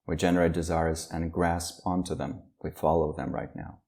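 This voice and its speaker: A man leading a guided meditation, speaking slowly and calmly with long pauses between phrases. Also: deep voice. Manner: slow, calm, with long pauses. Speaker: meditation teacher.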